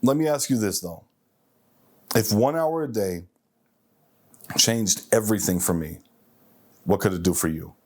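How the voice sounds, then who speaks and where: A man's voice: on the low side, a little slow, pauses often. A man of forty hosting a self-improvement show, speaking emphatically in a motivational monologue and repeating phrases for effect.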